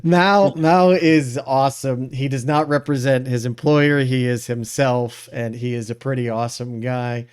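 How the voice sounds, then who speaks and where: Guy hosting a chatty podcast, clear smooth voice, informal and into it. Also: mid-range voice, fairly even intonation, unhurried, few pauses, a little mellow. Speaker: podcast host. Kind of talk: casual live show hosting and chat.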